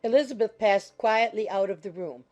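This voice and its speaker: A woman's voice: mid-range voice, normal speed, a little mellow, faint music behind. A woman reading scripted literary narration as an audiobook narrator.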